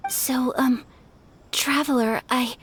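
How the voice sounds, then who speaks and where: A woman playing a polite, earnest and shy young noblewoman in a video game, soft and refined in manner. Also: sits high, steady tone, slow pace, a little hiss or hum. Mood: shy, flustered. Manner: soft, refined, hesitant, formal. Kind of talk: video game character acting.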